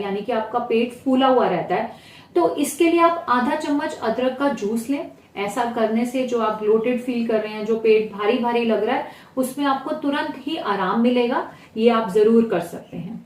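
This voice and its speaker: A woman with an Indian accent presents an explanatory home-remedy lesson as a health presenter, instructional and pausing to gloss terms. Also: on the high side. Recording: roomy echo, distant mic, faint noise.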